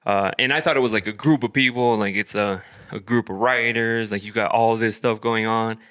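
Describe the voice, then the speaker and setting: A man's voice: fairly low pitch, fairly even intonation, brisk, a bit bright, smooth. A man hosting a podcast interview, chatting casually and rambling with lots of fillers. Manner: rambling, filler-heavy, friendly. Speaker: podcast host.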